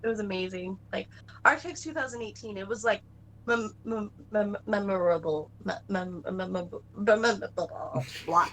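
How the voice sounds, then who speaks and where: A woman's voice: mid-range voice, moderate pace, fairly bright tone, rough recording. A bubbly young woman cosplayer chatting on a podcast, gushing and filling every sentence with "like". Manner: bubbly, gushing, fills speech with "like". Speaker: cosplayer guest. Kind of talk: casual podcast chat.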